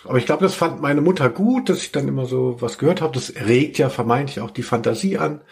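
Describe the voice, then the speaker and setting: Guy, middle aged, medium pitch, casual podcast chat, kinda tongue in cheek. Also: a little mellow. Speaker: podcast host.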